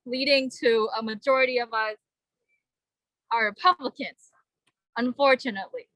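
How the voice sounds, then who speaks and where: Bright, earnest young woman at a vigil. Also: on the high side, dry recording, poor audio. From Vietnam. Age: young adult. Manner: earnest, halting, many fillers. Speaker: vigil speaker. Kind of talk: personal speech at a vigil.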